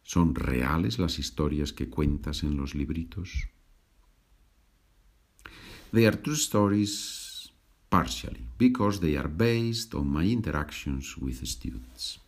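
Slow, deep-voiced man teaching. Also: animated. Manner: patient, repeats and translates each sentence. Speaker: language podcast host. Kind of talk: slow bilingual teaching podcast.

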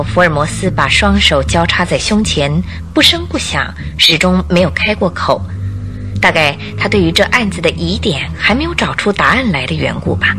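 A woman narrating a radio drama as an expressive storyteller with scripted, dramatic delivery. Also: fairly low pitch. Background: background music.

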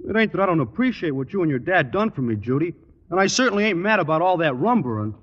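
An actor playing a dim, good-natured young athlete in a 1950s radio sitcom, rambling and ungrammatical. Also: male voice, fairly high pitch, very animated. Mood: dopey. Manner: slow-witted, rambling, ungrammatical. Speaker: student athlete. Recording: dull old radio recording, reverberant studio.

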